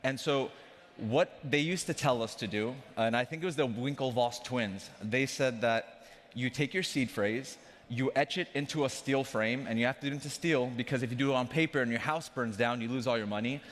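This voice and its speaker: A man's voice: mid-range voice, brisk. Joking male keynote speaker in a big hall. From Afghanistan. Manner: joking, conversational, addresses the crowd directly. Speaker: conference speaker, company CEO. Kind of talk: conference keynote talk. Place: large conference hall stage. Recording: long reverb of a large hall.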